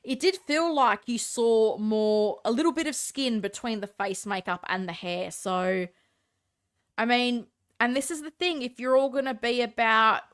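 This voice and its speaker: A woman's voice: on the high side, unhurried, fairly bright tone, clean tone. Congested woman hosting a casual live stream. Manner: chatty, opinionated, answers viewer comments. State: stuffed up, congested.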